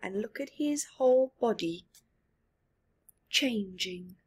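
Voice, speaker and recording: A middle-aged woman reading aloud to children with commentary, in an expressive, emphatic manner. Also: mid-range voice, takes it slowly, slightly rough. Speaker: storyteller for children. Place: small living room, indoors.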